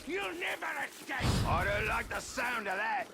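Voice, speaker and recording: An actor playing a nasty, excitable goblin minion who shouts taunts and alarms in a fantasy video game. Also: male voice, extremely high pitch, unhurried, vocal fry, over loud music, exaggerated voice. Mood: alarmed, angry. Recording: very noisy game action audio.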